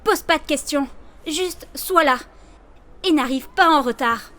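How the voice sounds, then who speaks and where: A woman's voice: high voice, measured pace, bright, forceful tone. A woman voicing a bossy, impatient teenage schoolgirl with a scolding manner in a French anime fan dub. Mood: bossy, annoyed. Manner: scolding, demanding.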